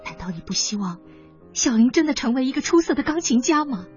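An ambitious, well-off mother in a radio drama. Her fairly high voice is earnest and insistent, with very animated intonation and pointed questions. Music plays behind her. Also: fast talker, highs cut off. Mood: persuasive. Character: wealthy, ambitious ex-wife and mother, persuasive, pleading. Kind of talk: Chinese radio drama acting.

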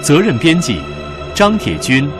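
A man's voice: fairly high pitch, huge pitch swings, a little slow. A man delivering scripted radio drama narration in a solemn, declamatory manner. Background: prominent music.

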